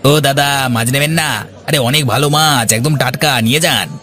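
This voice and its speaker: A man voicing a pushy, smooth-talking fish seller in a cartoon, coaxing with hesitant fillers. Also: medium pitch, fairly quick, sharp tone, faint music behind, some chatter behind, pitched above the performer's own voice, natural voice.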